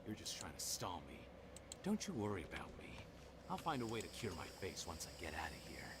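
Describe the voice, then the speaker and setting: A man's voice: low voice, huge pitch swings, a little fast, noisy background. A man playing a scheming, condescending criminal villain in a video game, taunting and theatrical. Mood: sneering, gloating. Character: scheming, sneering male escaped criminal villain, gloating and desperate.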